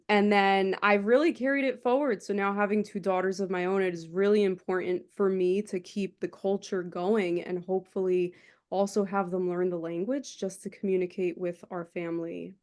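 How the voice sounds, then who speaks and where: A woman's voice: medium pitch, steady tone, normal speed. Woman, from New Jersey, Portuguese first language, author on a panel, reflective, um-filled storytelling, video call. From New Jersey, USA. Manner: warm, reflective storytelling with frequent "um". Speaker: children's book author, panel guest. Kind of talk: panel talk, personal introduction.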